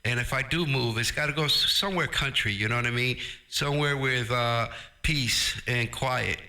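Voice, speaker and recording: A man's voice: mid-range voice, moderate pace, pushed and bright. A middle-aged man chatting casually over ham radio. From Brooklyn, New York. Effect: ham radio transmission. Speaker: amateur radio operator.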